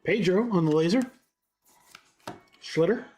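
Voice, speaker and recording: A man's voice: high voice, unhurried, a little mellow, slight background noise. Congested man hosting a card break. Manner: upbeat, chatty, rattling off card names. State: congested from a head cold. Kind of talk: live-stream card break commentary.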